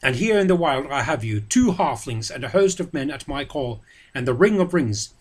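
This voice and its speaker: A man's voice: medium pitch, lively intonation, normal speed, husky. A man voicing a shrewd, commanding captain, speaking in a musing, declaiming way. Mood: triumphant.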